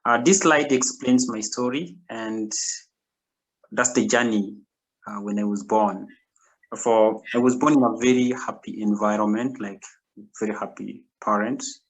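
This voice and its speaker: A man from Kenya telling his own story as an invited speaker on a video call, halting now and then with fillers. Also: lowish voice, fairly even intonation, poor audio.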